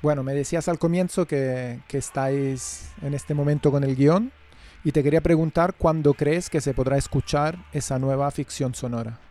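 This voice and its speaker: A man's voice: on the high side, steady tone, moderate pace, dark tone. A man with a Spanish accent from Spain, interviewing conversationally as a radio host.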